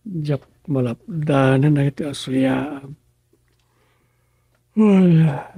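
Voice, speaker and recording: A man's voice: mid-range voice, warm tone, no room sound. An actor with an Ilocano accent playing an easygoing, busy rural councilman in a radio drama, talking conversationally with frequent interjections. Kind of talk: radio serial drama acting.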